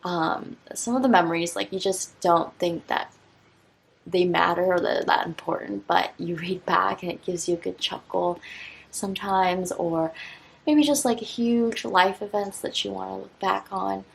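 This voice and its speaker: A woman in her twenties, a teacher, talking through a stationery unboxing in a relaxed, rambling way full of fillers and restarts. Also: lowish voice, measured pace, creaky, husky, low-quality recording. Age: 20s. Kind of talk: casual unboxing vlog.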